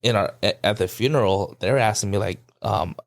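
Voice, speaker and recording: A man co-hosting a podcast in casual chat, telling a story in an animated way and acting out the lines of others. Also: lowish voice, a little fast, gravelly. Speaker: podcast co-host.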